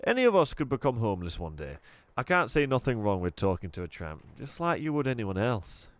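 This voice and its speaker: A man's voice: medium pitch, sing-song, brisk, no echo, natural voice. A man voicing a jolly, chatty middle-aged male shopkeeper with eager salesman patter, in a dry recording. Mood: cheery salesy.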